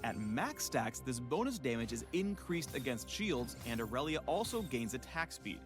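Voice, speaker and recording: A man's voice: mid-range voice, very animated, moderate pace, music in the background. A man reading scripted promotional tutorial narration for a video game spotlight, with playful wording.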